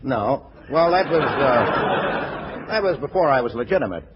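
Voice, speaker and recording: A man's voice: medium pitch, expressive, a little slow, a loud crowd, close to an everyday voice. A man playing a grumbling, casually callous gangster boss in a 1940s radio comedy, with slangy, hesitant tough-guy talk. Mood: grumbling, uneasy. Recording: muffled, noisy 1940s radio recording.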